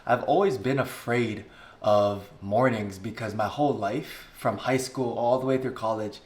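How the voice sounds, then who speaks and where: A man's voice: medium pitch, a little slow. A young man from Hawaii vlogging unscripted, rambling earnestly with lots of "you know" and "like". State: jet lagged. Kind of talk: unscripted casual vlog talk.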